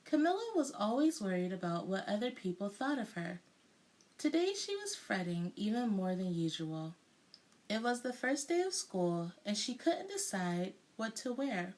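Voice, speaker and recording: A woman's voice: mid-range voice, big pitch swings, moderate pace, a little mellow. A woman narrating a children's storybook aloud expressively, as a teacher would.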